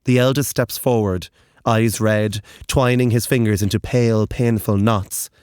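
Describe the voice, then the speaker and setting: A narrator reading dark, literary horror fiction in a deliberate, intense and dramatic way. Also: medium pitch, steady tone, clear, resonant tone, no echo, clean studio sound.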